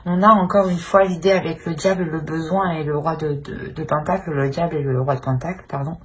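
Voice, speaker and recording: A woman giving an informal tarot reading, speaking haltingly as she searches for words and often clears her throat. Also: low voice, fairly even intonation, a little hiss or hum. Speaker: tarot reader.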